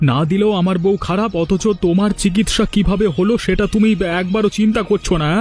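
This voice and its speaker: A man's voice: high voice, fairly even intonation, fast, a little mellow, faint music behind, close to an everyday voice. A man playing an earnest, protective married son in a melodramatic village cartoon, with a pleading, reproachful manner. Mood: angry, reproachful. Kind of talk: melodramatic cartoon family comedy acting.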